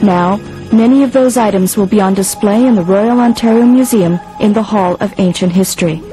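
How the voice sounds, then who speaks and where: A woman's voice: mid-range voice, a little fast, lightly acted, rough recording. A woman playing a formal, grand announcer reading a scripted radio advertisement. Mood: grand, inviting. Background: music.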